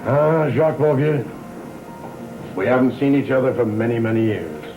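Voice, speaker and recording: An actor playing a weary, fatalistic old patriarch in a gothic soap opera, speaking in grave, ominous, theatrical phrases. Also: male voice, medium pitch, moderate pace, gravelly, phone-quality sound, close to an everyday voice. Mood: wistful. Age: older. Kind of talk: 1960s-70s gothic soap opera acting. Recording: old TV soundtrack with background music.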